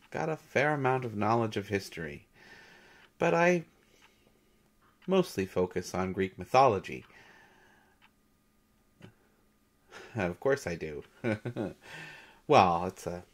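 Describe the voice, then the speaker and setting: A man playing a shy, gentle substitute teacher, halting and nervous, in an intimate audio roleplay lecture. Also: sits low, full character voice. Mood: gentle, eager. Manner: hesitant, nervous, halting with soft chuckles. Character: shy, gentle male Greek god posing as substitute teacher.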